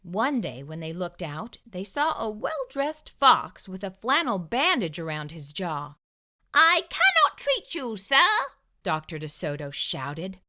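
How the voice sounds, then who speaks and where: Woman, children's read-aloud host, unhurried pace, bright voice, expressive storytelling. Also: on the low side.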